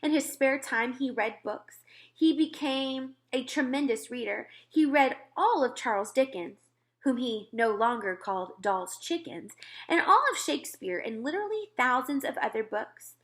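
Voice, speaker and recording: A woman reading a children's book aloud as scripted narration, expressive and clearly phrased. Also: high-pitched, normal speed. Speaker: storybook reader.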